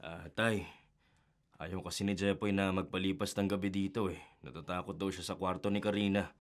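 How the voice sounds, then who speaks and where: A man plays a calm father in a radio drama, talking his son's fear away. His voice is very low. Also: normal speed, natural voice. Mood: explaining. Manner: calm, dismissive.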